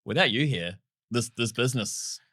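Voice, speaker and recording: A man's voice: mid-range voice, expressive, moderate pace, a bit bright, dry recording, clean studio sound. A man hosting a podcast interview, asking loose questions full of fillers and self-corrections. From New Zealand. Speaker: podcast host. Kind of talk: casual interview chat.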